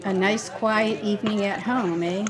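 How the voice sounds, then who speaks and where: A woman's voice: mid-range voice, steady tone, unhurried, close to an everyday voice. An actress playing a nervous, clinging young lady in an old-time radio drama, speaking anxiously and pleadingly. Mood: dry.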